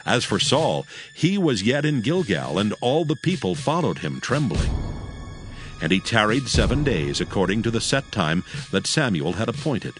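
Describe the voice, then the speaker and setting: A man's voice: on the low side, sing-song, normal speed. A man narrating scripture in a solemn, scripted, dramatic storytelling style. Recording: music under the narration.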